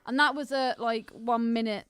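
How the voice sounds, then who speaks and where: A woman co-hosting a podcast in casual chat about a film, animated and exclamatory, with plenty of swearing. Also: a little high, normal speed, clear, resonant tone, soft background music. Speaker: podcast co-host.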